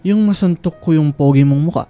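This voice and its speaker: A man with a Filipino accent playing a hesitant, soft-spoken young man hopelessly in love with his best friend, in a radio drama. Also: a little high, brisk, smooth, highs cut off, soft background music, lightly acted. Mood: weary, teasing.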